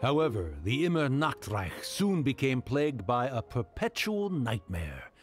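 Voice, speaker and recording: An actor playing a solemn storyteller, dramatically narrating a dragon legend in a video game. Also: male voice, medium pitch, very animated, a little slow, soft background music. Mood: grave, dramatic.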